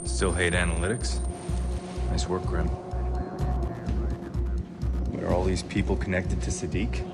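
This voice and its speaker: A veteran male special operations leader in a spy game. His voice is deep, his delivery dry, terse and unflappable, with music clearly behind him. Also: flat delivery, measured pace. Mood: dry, resolute. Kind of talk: dramatic game cutscene acting.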